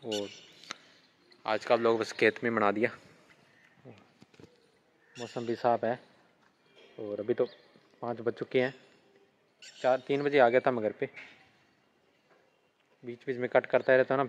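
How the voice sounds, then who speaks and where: A man's voice: medium pitch, measured pace, long pauses, highs cut off. A young man in his twenties chatting casually to viewers in a vlog, filmed outdoors on a phone held at arm's length. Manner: relaxed, chatty, addressing viewers directly. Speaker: vlogger. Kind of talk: casual vlog talk to camera. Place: outdoors, rural fields and village rooftop.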